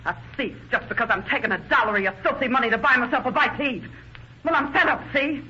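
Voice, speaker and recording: A woman's voice: on the high side, fast talker, sharp tone, breathy edge. An actress playing a fed-up, angry woman accused of theft in a 1930s radio melodrama, snapping defiantly. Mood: furious, bitter. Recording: dull, faintly noisy 1930s radio recording.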